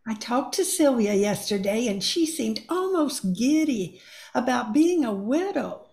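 Creaky, gossipy neighbour woman, acted out. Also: on the high side, big pitch swings, a little slow, a little mellow, heavily acted. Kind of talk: amateur radio play acting.

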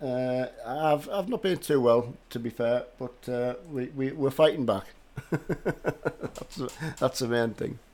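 Older man, hoarse with a cold. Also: mid-range voice, takes it slowly, a little mellow. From north of England. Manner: chatty, greets viewers by name. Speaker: game streamer. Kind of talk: casual live-stream chat. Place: home gaming room with driving rig. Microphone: headset.

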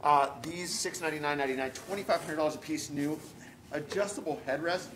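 Man, wisecracking co-host, rapid talk, slightly high voice, echoey showroom. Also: noisy background. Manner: quick, wisecracking, deadpan asides. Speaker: furniture store co-host. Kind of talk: joking live sales banter. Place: large furniture showroom indoors.

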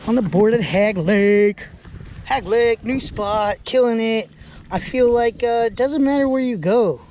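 Upbeat high-voiced man vlogging outdoors. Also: a little slow, darkish tone. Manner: upbeat, chatty, laughs often. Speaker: fishing vlogger. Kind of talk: casual outdoor vlog narration. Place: outdoors on a lakeshore. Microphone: body-worn action camera. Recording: outdoor wind and ambient noise.

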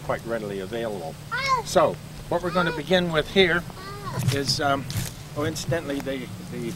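Older man guiding a cemetery tour outdoors. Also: mid-range voice. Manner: chatty, explanatory, with frequent uh fillers and restarts. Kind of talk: outdoor guided walking tour talk. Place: outdoor cemetery among trees. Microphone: camcorder mic from a few feet away. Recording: outdoor camcorder audio with traffic noise.

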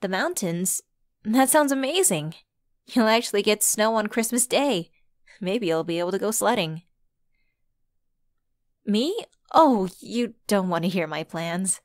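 A woman's voice: medium pitch, no echo, studio quality. A woman playing a flustered, friendly stranded driver in an ASMR audio roleplay, chatty and a little hesitant. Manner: hesitant, chatty, expressive with sighs and giggles. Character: flustered, friendly woman locked out of her car at Christmas. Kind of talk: ASMR roleplay acting, one-sided conversation.

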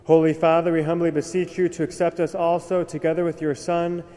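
A young man, a priest, reciting the prayers of Mass at the altar in a measured, solemn way. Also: on the high side, little pitch movement, mellow. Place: large cathedral, at the altar. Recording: long cathedral reverb.

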